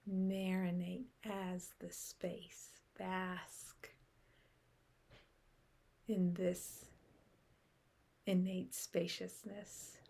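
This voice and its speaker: A woman guiding a meditation in a soft, unhurried way with long pauses, her breathy voice often trailing off into a whisper. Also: on the low side, level tone. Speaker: meditation guide. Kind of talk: guided meditation.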